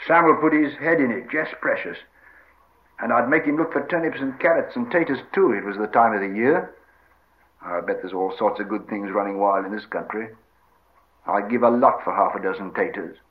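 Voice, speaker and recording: A man voicing a gruff, practical, stubborn hobbit servant who talks in homely rustic phrases in a storybook reading. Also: on the low side, normal speed, narrow, tinny audio, close to an everyday voice. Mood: stubborn, scolding.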